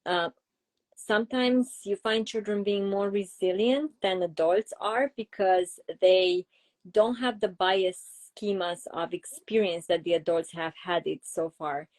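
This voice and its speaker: Woman, Romanian accent, middle-aged, interview guest, explanatory, halting fillers. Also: medium pitch, darkish tone. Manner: explanatory, uses examples, frequent fillers. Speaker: child psychologist guest. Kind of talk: interview conversation.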